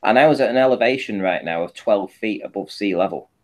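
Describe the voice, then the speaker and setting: Low-pitched man explaining step by step. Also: fast. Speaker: panel guest presenting a photo analysis. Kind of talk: informal explanatory talk over shared images.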